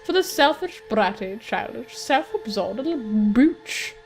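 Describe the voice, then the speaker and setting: Woman voicing a sneering, high-pitched teenage girl. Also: expressive, unhurried, vocal fry, soft background music. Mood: bitter, mocking. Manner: sneering, sarcastic, swearing. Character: scornful, accusing teenage girl's inner self, taunting cruelly.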